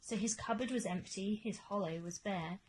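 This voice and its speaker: A woman teacher reading a rhyming picture book aloud to children with measured, expressive, dramatic emphasis. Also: medium pitch, slight background noise, poor audio. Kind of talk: expressive children's storytime narration of rhyming verse.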